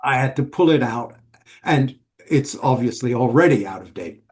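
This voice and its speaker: A middle-aged man from Switzerland, a guest author explaining his work in a measured, listing way. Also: mid-range voice, lively intonation. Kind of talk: casual interview.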